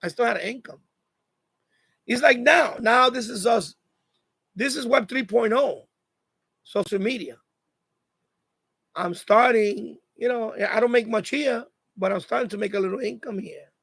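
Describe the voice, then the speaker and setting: A man's voice: very high voice, measured pace, gravelly, long pauses, a bit bright, husky, dry recording. A man chatting casually on a live stream, repeating phrases as he gives advice. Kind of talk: casual live chat monologue.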